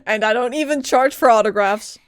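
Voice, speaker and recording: A woman with a fairly high voice chats casually over a game as a streamer. She is playful and reactive, and faint game music runs behind her. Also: unhurried. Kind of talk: casual gameplay commentary.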